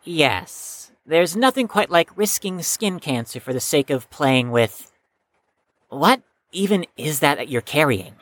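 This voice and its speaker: Cautious bookish student, audio drama, played by a woman: low register, lively inflection, stiffly formal and dry. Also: a little slow. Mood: wry, reluctant.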